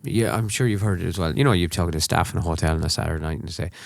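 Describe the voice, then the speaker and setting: Deep-voiced Irish man hosting a chat. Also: racing, gravelly, dry recording, studio quality. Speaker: show host, interviewer. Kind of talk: casual chat.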